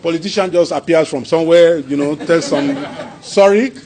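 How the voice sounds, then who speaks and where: A man with a Yoruba-accented Nigerian English voice, pitched somewhat above the usual male range. He talks to a hall audience as a traditional king, in a loose conversational way, chuckling often and circling back over his own phrases. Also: normal speed, crowd noise. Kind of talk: informal speech and Q&A answers.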